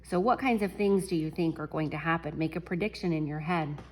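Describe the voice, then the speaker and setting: Lower-voiced teacher reading a picture book. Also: female voice, fairly quick. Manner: animated, chuckles while reading, talks to children. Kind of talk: children's read-aloud storytime.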